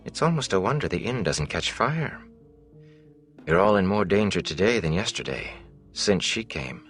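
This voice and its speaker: A man voicing an anxious, secretive young woman in a hushed, urgent manner for a fantasy audiobook. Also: deep voice, lively intonation, normal speed, soft background music. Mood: urgent, worried.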